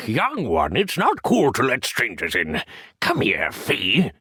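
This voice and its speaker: A husky old village elder in a game cartoon, gentle yet firm. He speaks slowly with big swings in pitch, wearily cautioning, close and dry over faint music. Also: huge pitch swings, dry recording, full character voice. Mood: stern, wary. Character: wary village elder, weak spice creature, gentle but firm.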